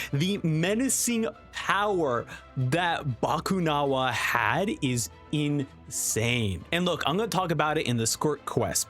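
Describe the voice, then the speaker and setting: A man's voice: fairly high pitch, fairly bright tone. A man giving enthusiastic video game review commentary in an effusive, emphatic manner.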